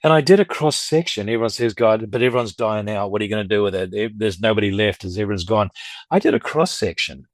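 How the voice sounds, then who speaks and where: A man's voice: lowish voice, expressive, fast, no echo. A middle-aged man talking casually and at length as an interview guest, rambling through anecdotes and acting out what others said. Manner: talkative, rambling, anecdotal, quotes others' speech. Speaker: podcast guest, record collector and dealer.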